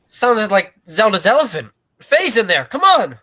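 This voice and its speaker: Forceful, animated woman as circus worker. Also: medium pitch, measured pace. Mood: urgent. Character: excitable, urgent circus worker. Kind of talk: 1940s radio play acting.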